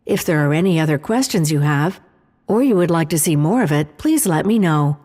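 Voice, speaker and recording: A mellow female artificial intelligence speaks inside a long, hall-like reverb. She is calm and precise, laying out analysis in measured, formal sentences, as in a sci-fi audio drama. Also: deep voice. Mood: calm, helpful.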